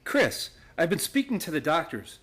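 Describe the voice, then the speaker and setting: A man acts a loyal young male lawyer in a stage-style radio play. His voice sits a bit high and moves with a lot of animation. He comes across earnest, quick-witted and emphatic. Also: wildly swooping pitch, fairly bright tone, husky, full character voice. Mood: concerned, pleading.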